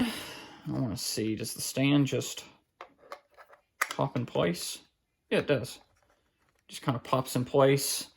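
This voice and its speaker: A man with a creaky, mid-pitched voice talks through an unboxing in a casual, off-the-cuff way. He pauses and thinks aloud as he handles things. There is faint noise behind him. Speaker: hobbyist collector presenting an unboxing. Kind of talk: casual unscripted unboxing narration.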